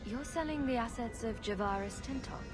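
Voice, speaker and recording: An actress playing a bold, quick-witted female rogue in a video game, with a dry, sardonic delivery. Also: fairly high pitch, music in the background, lightly acted. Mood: sardonic, pressing.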